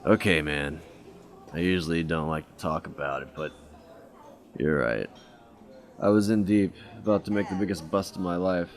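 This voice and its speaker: A man's voice: sits low, normal speed, pauses often, natural voice. An actor playing a cocky, wisecracking male detective who used to work undercover. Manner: wisecracking, casual.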